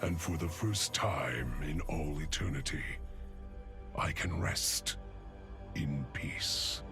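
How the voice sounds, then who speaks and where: An actor voicing a solemn, weary immortal who longs for rest, narrating gravely in a video game epilogue. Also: male voice, rumbling low, full character voice. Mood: weary resignation. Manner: grave, measured, brooding. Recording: loud music behind the voice.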